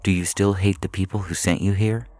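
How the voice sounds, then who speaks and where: A man reading audio fiction as a calculating artificial intelligence, coolly persuasive and menacing, speaking in a measured, clinical, formal way. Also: deep voice, fairly even intonation, a little mellow, soft background music, close to an everyday voice. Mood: calm menace. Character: calculating prison AI, four years old, coolly persuasive, menacing.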